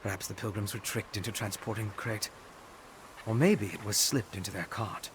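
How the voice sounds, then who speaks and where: An actor playing an earnest, inquisitive scholar in a fantasy video game, speaking in a measured, articulate, analytical way. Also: male voice, on the low side, fairly even intonation, slight background noise, close to an everyday voice. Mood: concerned, pondering. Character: earnest, inquisitive male scholar investigating dark rituals.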